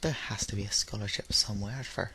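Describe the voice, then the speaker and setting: A man's voice: fairly low pitch, steady tone, fast, vocal fry, bright, forceful tone. A tired, slightly unwell young man rambling casually in a solo audio log, with dry, self-deprecating humour. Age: teenager or young adult, high-school student. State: tired, under the weather. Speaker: YouTuber giving an audio log. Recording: noisy home recording with background hiss.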